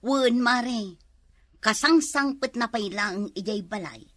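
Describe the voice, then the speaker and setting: A middle-aged woman with an Ilocano accent, acting in a comedy drama, loud and emotional as a wife who suspects her husband. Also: a little high, expressive, slow pace, no room sound.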